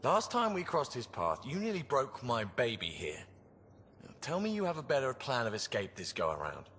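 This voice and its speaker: Lively, teasing male ship engineer. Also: mid-range voice, moderate pace. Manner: informal, teasing. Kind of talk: video game cutscene dialogue. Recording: echoey space, background ambience noise.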